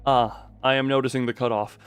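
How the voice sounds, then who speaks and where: A man doing livestream commentary over a story game, reading it aloud dramatically with animated, incredulous asides and some swearing. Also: mid-range voice, fairly quick, clear, resonant tone, dry recording, soft background music.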